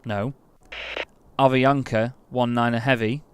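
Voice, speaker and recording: A man's voice: mid-range voice, fairly even intonation, measured pace. A man playing an air traffic control game, chatting casually while reading out call signs and getting exasperated when commands fail. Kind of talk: casual gameplay commentary with radio calls.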